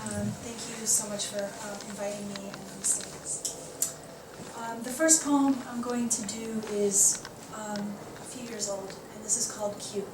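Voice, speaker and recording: A woman's voice: medium pitch, steady tone, measured pace. A woman poet reading her own work aloud at a live poetry reading. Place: indoor poetry reading, recorded from a distance. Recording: echoey room, distant mic, faint noise.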